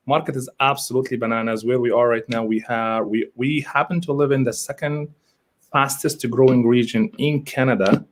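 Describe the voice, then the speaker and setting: A man's voice: mid-range voice, fairly even intonation, brisk. A man from Egypt, a real estate agent, talking as a guest in a live interview, rambling and correcting himself with enthusiasm over a video call.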